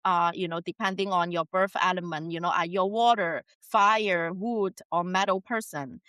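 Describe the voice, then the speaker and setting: Woman as a podcast guest, bright and forward tone, dry close sound, chatty with frequent laughs. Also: lowish voice, fairly even intonation, normal speed, clear, resonant tone, dry recording. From China. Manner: chatty, laughs often between points. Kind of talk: casual interview conversation.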